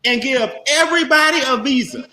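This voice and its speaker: A man telling a long, animated story on a group video call as host and trainer, loud and laughing, with casual slang. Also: very high-pitched, a little fast. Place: home room on video call. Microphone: webcam or laptop mic on video call. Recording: echoey room, faint noise.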